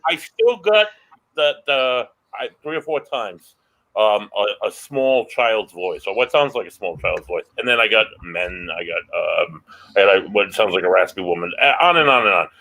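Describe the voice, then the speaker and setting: A man co-hosting a paranormal podcast, recounting an investigation earnestly with halting restarts. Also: fairly low pitch, animated, bright, forceful tone, rough recording. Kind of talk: casual chat.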